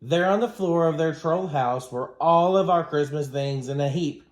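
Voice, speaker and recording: A man reading a children's story aloud as a teacher, in an expressive, dramatic manner. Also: fairly high pitch, moderate pace. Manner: dramatic, acts out dialogue. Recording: roomy, echoing room sound.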